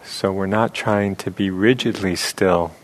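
A man leading a guided meditation as a teacher, speaking softly and unhurriedly with long pauses. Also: low voice, fairly even intonation.

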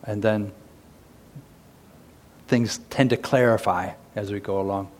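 A man who is a Buddhist monk, speaking on a discussion panel in a slow, thoughtful way. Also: on the low side, fairly even intonation.

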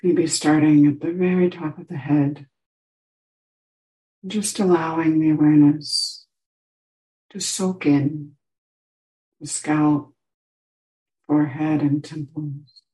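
A woman teaching a guided meditation, giving gentle instructions with long pauses between short phrases. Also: sits low, little pitch movement, low-quality recording.

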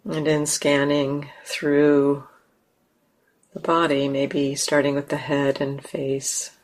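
A woman teaching a guided meditation in a gentle, unhurried way with long pauses. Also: low voice, monotone.

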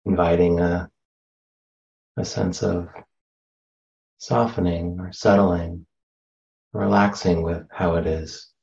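A man leading a guided meditation as a teacher, speaking gently and unhurriedly with long pauses between phrases. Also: deep voice, steady tone, creaky, muffled recording.

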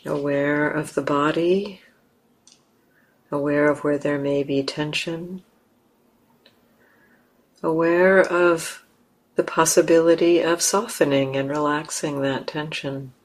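Lady doing guided meditation, super slow, pretty flat pitch, gentle short phrases w lots of space. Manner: gentle, unhurried, long pauses between phrases. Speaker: meditation teacher.

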